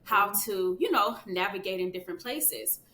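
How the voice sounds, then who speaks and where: A woman's voice: expressive, normal speed, bright, forceful tone. A woman talking casually as a podcast guest, chatty, with many fillers and "you know what I mean" asides. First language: English. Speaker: podcast guest, children's book author. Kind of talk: casual interview chat. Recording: echoey room with faint rumble.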